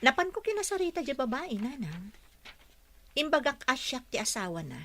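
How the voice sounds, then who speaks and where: A woman's voice: high-pitched, big pitch swings, moderate pace, pushed and bright, full character voice. A woman from the Philippines voicing an upset young wife and mother in an audio drama. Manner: upset, emotional.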